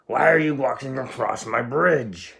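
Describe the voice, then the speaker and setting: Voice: mid-range voice, measured pace, gravelly, fairly bright tone, voice pushed up. Someone putting on a roaring, greedy old troll. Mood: roaring, threatening. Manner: roaring threats, muttering when scheming. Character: hideous, stinky old male bridge troll, greedy bully.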